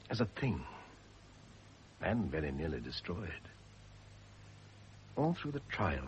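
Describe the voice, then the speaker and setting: An actor playing a condemned, remorseful philanderer in a radio drama, halting and impassioned as he confesses. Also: deep voice, darkish tone, full character voice. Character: anguished condemned man, remorseful philanderer awaiting his end. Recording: muffled old radio drama recording, faint hiss.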